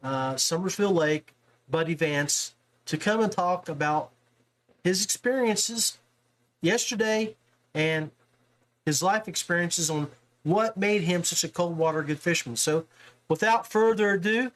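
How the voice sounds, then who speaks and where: A man's voice: high-pitched, measured pace, a bit bright, low-quality recording. A man hosting a casual sports podcast interview, talking in a chummy, familiar way with guest and listeners.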